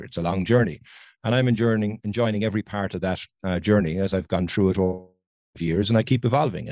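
Fast-talking Irish man, dry sound. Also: on the low side, darkish tone, no room sound. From Ireland. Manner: talkative, enthusiastic. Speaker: podcast guest, photographer. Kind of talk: conversational interview. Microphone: video call.

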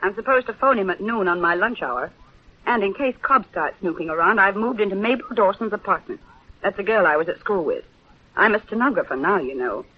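A woman's voice: mid-range voice, expressive, fairly quick, lightly acted. An actress in a 1930s radio drama playing a shrewd society-girl reporter with a breezy, knowing manner and wry wit. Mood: wry, brisk. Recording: dull 1930s radio broadcast, music behind.

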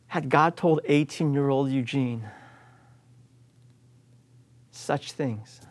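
A man's voice: mid-range voice, slow. A man in his late forties from the United States, preaching a sermon as a pastor in an earnest, emphatic way, returning again and again to repeated refrains. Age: 40s.

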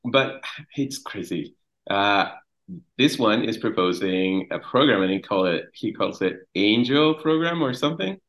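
Halting, low-voiced man from Mexico. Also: animated, poor audio. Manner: halting, repeats words, trails off quietly. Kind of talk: casual conversation.

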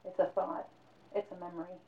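A woman playing a haunted young man, quiet and dazed, fumbling through his worried thoughts. The voice stays low and soft. It sounds thin and phone-like in an echoey space. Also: flat delivery, fairly quick, natural voice. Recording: tinny phone-like sound, roomy echo.

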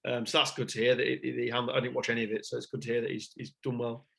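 A man co-hosting a casual podcast. He jokes and banters with keen enthusiasm at a mid pitch. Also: little pitch movement, rapid-fire, creaky, a bit bright. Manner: enthusiastic, jokey. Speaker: podcast co-host.

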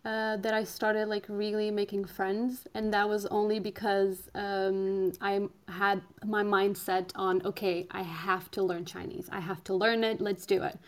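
A woman's voice: medium pitch, little pitch movement, a little slow, few pauses. A woman in her twenties from Portugal, a native Portuguese speaker, talking as a podcast guest in a hesitant way, with many "um" fillers and easy laughter. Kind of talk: casual interview chat.

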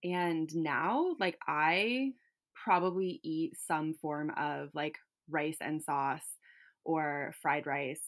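A woman's voice: sits low, drawn-out words. A young woman from California in casual chat, talking with lots of fillers and light laughs. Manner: chatty, many fillers and likes, laughs easily.

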